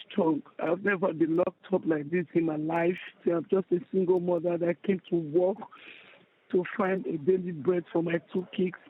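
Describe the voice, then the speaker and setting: Woman from Nigeria, detainee on a phone call, flat and weary, higher voice, tinny line with faint hiss. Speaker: immigrant detainee. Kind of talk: phone interview.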